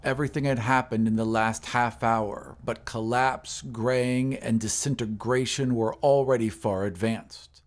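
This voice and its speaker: A man giving a grave, measured narration of a scripted horror story in an audio drama. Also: mid-range voice, fairly even intonation.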